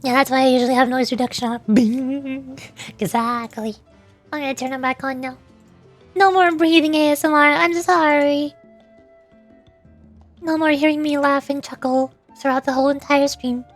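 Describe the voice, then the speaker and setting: A woman's voice: high-pitched, fairly even intonation, moderate pace. A young adult woman chatting casually and playfully as a streamer at her home desk mic, with light giggles. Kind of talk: casual live-stream chat. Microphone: desk microphone. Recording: home mic with faint music.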